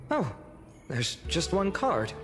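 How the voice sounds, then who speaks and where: A woman's voice: fairly low pitch, sing-song, slow. A woman voicing a curious, earnest and thoughtful young traveler in scripted game dialogue, with heavy reverb. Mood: curious, wondering. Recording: long hall reverb over music.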